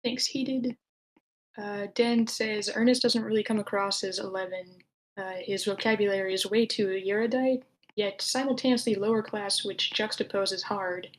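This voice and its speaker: A woman's voice: medium pitch, fairly even intonation, moderate pace, low-quality recording. A woman casually moderating an online meeting, easygoing and reassuring as she hands over to others. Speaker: table-read moderator. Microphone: online meeting call.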